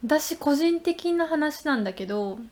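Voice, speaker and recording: High-voiced young woman chatting casually. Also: lively intonation, a little slow, a little mellow. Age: young adult. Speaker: podcast co-host. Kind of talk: casual chat.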